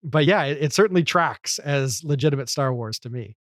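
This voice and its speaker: A man's voice: mid-range voice, animated, brisk, a bit bright, dry recording, studio quality. A man in a casual podcast discussion, talking in a rambling, conversational way as a commentator.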